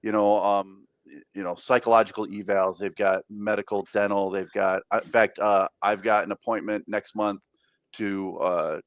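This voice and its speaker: A man with a fairly low voice talks casually on a podcast, stumbling and repeating words as he goes. The sound is dry and close. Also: steady tone, no room sound. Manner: halting, repeats and restarts words. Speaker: podcast co-host. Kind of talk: casual chat.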